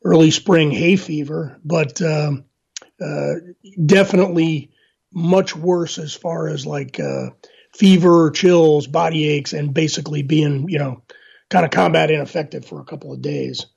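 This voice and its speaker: Hoarse, gravelly man with halting speech. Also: a little high, steady tone, a little mellow. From Northern Virginia, USA. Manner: halting, many "uh" fillers and restarts. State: hoarse from illness. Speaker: guest. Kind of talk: casual interview talk. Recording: dull lo-fi line.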